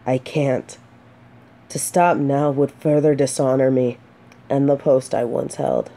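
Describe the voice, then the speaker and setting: An earnest exiled female knight, voiced by a woman for a romantic fantasy audio drama. Her voice is low and mellow, and she takes her time. She carries herself solemnly, yet her words can stumble when she is flustered. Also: steady tone, measured pace, gravelly, full character voice. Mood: solemn, remorseful. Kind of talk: scripted romantic audio roleplay, addressing the listener.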